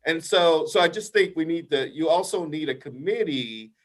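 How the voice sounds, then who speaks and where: A man's voice: a little high, expressive, moderate pace, poor audio. A man with a big voice advising a council in a meeting over a video call, talking emphatically, often ending points with "right?" and restarting his sentences. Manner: emphatic, repeats "right?" as a tag, many restarts. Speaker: invited expert advising the council. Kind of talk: meeting discussion.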